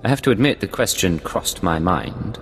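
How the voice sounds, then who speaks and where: Low-voiced, lively imperial agent. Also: male voice, normal speed, in a large hall, faint music behind. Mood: guarded. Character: cool, skeptical male imperial secret agent. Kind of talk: video game dialogue acting.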